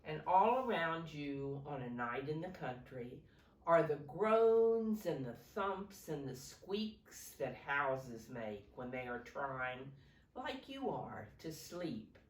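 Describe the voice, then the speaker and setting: A woman reading a picture book aloud to young children as a teacher, pausing to explain simply and ask them questions. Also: deep voice, big pitch swings. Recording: roomy echo, distant from microphone.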